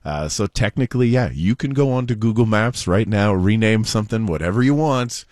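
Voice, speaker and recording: A man's voice: fairly low pitch, expressive, a little fast, darkish tone, no echo, studio quality. A man co-hosting a talk show, retelling offbeat news in a casual, jokey way. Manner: chatty, jokey storyteller. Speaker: talk show co-host telling news stories.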